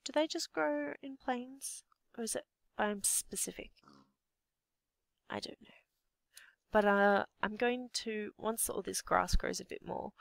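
A young Australian woman with a slightly croaky voice, half-whispering to herself as she commentates her building game. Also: medium pitch, no echo. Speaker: let's play gamer. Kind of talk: casual gameplay commentary.

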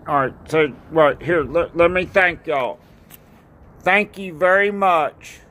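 An older man from North Carolina talks outdoors on a porch into a phone at arm's length, rambling and halting with many fillers and repeated thank-yous. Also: on the high side, a bit bright. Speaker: ski racer and video maker. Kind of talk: casual home video. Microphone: phone camera at arm's length.

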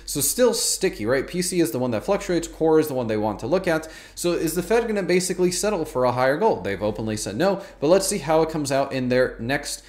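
A man's voice: a little high, a little mellow. A man in his thirties giving emphatic, colloquial market commentary to camera as a YouTube host, often repeating words for stress.

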